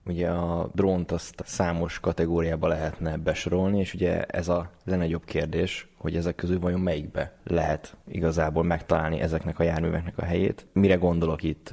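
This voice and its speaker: Low, gravelly, flat-toned man. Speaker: interviewed board member. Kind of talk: interview, explaining.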